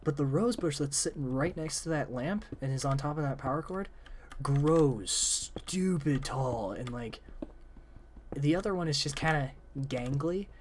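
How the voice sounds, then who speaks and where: A man's voice: on the high side, lively intonation, unhurried, slight background noise. A young man chatting casually about story ideas with a friend while gaming, rambling with many fillers, his voice cracking and wearing out. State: losing voice, voice cracking.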